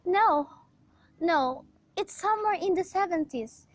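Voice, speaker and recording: A woman's voice: very high voice, lively intonation, a little slow, no room sound. A woman playing a frantic, demanding and self-pitying invalid wife in an amateur radio play, speaking with agitated, pleading melodrama. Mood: agitated, impatient.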